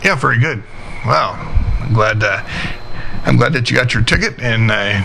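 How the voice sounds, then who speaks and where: A man's voice: mid-range voice, animated, moderate pace, gravelly, sharp tone, slight background noise, rough recording. A man chatting casually as an experienced ham radio operator.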